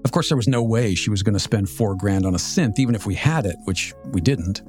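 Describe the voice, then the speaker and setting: A man in his fifties with an American accent, telling a scripted personal story as a podcast host in a measured, reflective, articulate way. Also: lowish voice, a little mellow. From Southern California, United States. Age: 50s. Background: music.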